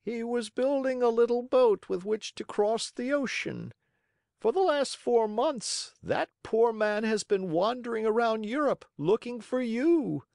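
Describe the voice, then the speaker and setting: A storyteller voicing a kindly, helpful talking pigeon in a high voice with a measured, gently instructive manner. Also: vocal fry, no room sound, clean studio sound. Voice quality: high-pitched.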